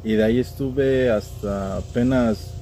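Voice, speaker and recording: A man's voice: medium pitch, a little slow, warm tone, faint music behind, low-quality recording. A young man with a central Mexican accent, telling stories in a casual, slangy way as a podcast guest. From State of Mexico, Mexico. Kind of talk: casual conversational storytelling.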